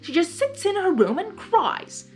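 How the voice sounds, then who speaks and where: A woman's voice: extremely high pitch, very animated, measured pace, faint music behind, voice pushed up, heavily acted. A woman voicing a petulant, self-important male chaos creature in a story, melodramatic and exasperated. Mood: frustrated, pleading.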